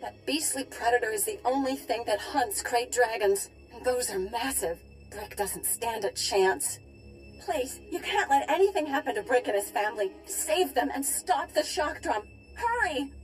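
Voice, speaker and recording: A desperate game character acted by a woman, pleading in a fairly high voice. She is urgent, frightened and emphatic, with faint music underneath. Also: expressive, normal speed, close to an everyday voice, low-quality recording. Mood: alarmed, pleading. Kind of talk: video game voice acting.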